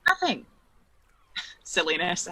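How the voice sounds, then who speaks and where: A woman's voice: lowish voice, big pitch swings, takes it slowly, bright, forceful tone, slight background noise, exaggerated voice. A young adult woman playing a dreamy, curious, playful young woman with a hesitant, wry manner in a live play reading.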